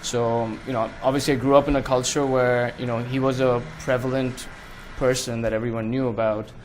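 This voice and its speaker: A man's voice: mid-range voice, little pitch movement, fairly quick, darkish tone, a little hiss or hum, low-quality recording. A young man from India, a guest in a casual interview, speaking earnestly with many fillers. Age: young adult.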